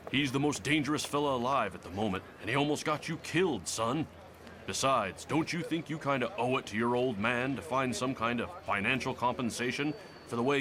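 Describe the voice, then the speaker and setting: A man's voice: mid-range voice, fairly bright tone, natural voice. A man playing a middle-aged American senator in a motion comic, folksy, oily and patronizing. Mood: coaxing. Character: folksy, manipulative middle-aged male senator, bullying beneath charm.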